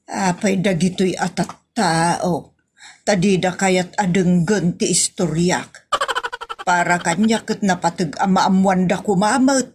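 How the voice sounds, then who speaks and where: A woman's voice: fairly low pitch, a little mellow, slight background noise, close to an everyday voice. Wanted: woman to play older Ilocano-accented village wife, chatty and aggrieved, complaining at length in radio-style comedy. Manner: rambling, plaintive, drawn-out complaining. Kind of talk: Ilocano audio comedy drama.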